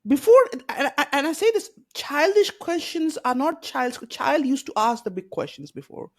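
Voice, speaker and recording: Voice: sits high, very animated, normal speed. A podcast host speaking earnestly and with strong opinions, leaning on "you know" and rhetorical questions in a casual conversation.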